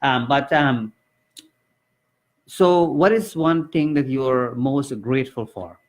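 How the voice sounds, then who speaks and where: A man's voice: medium pitch, slow. A man from Guyana hosting a live community radio talk show, speaking earnestly and loosely, with many fillers and restarts.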